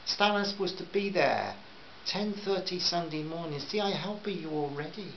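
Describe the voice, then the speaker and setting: A man voicing a shrewd, friendly Greek cafe owner in a put-on Greek accent, speaking slowly and gently. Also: sits high, expressive, dull audio, slight background noise, pitched above the performer's own voice. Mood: reassuring. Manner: slow, gentle, searching.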